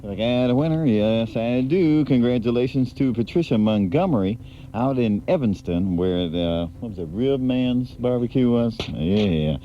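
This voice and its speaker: A man's voice: mid-range voice, expressive, normal speed, slight background noise. A man DJing on the radio, chatting casually, rambling and laughing between records. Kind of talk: casual on-air DJ talk. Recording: muffled 1980s AM/FM aircheck tape.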